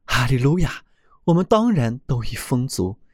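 A man reading a children's fairy tale aloud in a gentle, expressive storytelling manner. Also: medium pitch, normal speed, no echo, clean studio sound.

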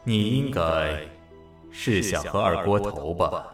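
Distant and echoey, a man voices a mysterious bartender who serves gods. He is serene and hospitable, with a low leisurely drawl, in radio-drama style. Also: slow, a bit bright. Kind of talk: Mandarin audio drama acting. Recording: echoey, distant voice over music.

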